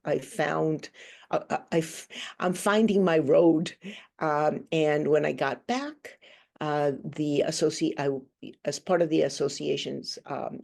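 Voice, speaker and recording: A woman in her sixties from Guatemala, giving a thoughtful, earnest interview answer over a video call and pausing often to search for words. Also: deep voice, gravelly, a little mellow. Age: 60s. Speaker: guest, hand therapist.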